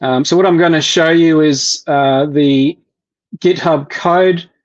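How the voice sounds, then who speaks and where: A man's voice: a little high, steady tone, dull audio. A man giving a live online developer presentation, talking through a demo with lots of ums, uhs and restarts. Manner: halting, many fillers and restarts.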